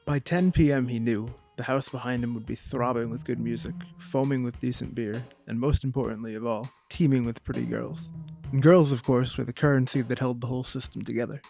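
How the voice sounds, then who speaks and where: A man's voice: medium pitch, steady tone, brisk, creaky, darkish tone, faint music behind, lightly acted. A man voicing a male author reading his own lurid prose like a dramatic, earnest audiobook. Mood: earnest, leering.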